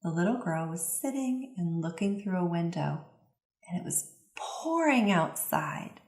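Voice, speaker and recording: A woman giving an instructional voice-over interpretation, phrasing her explanations in a measured, clear way. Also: on the low side, sing-song, darkish tone. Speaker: voice-over interpreter for a signing presenter. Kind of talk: instructional voice-over translating signed presentation. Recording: roomy, reverberant sound.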